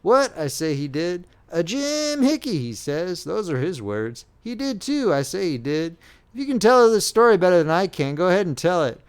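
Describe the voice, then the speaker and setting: Male reader as excitable gossip soldier, raised pitch, bouncy. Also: moderate pace, smooth. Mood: eager. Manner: breathless storyteller, repeating "he says".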